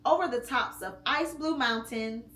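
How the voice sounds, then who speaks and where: A mother reading a picture book aloud to her child at home, slow and expressive with pauses between phrases. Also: on the high side. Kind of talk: storybook read-aloud. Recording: echoey room with faint hum.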